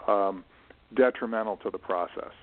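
A middle-aged man answering as an interview guest, reflective and measured, choosing precise words with pauses. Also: on the low side. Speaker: interview guest, writer and publishing professional. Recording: narrow, muffled phone-like audio.

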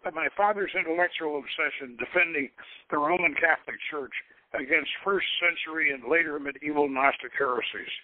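A man acts out an intellectual, romantic young woman recounting her life. The voice sits high and speaks in a measured, reflective way, as in an audio novel. It comes dry through a tinny, narrow phone-like line. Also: no echo, lightly acted. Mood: earnest, critical. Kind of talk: dramatic reading of an adventure novel.

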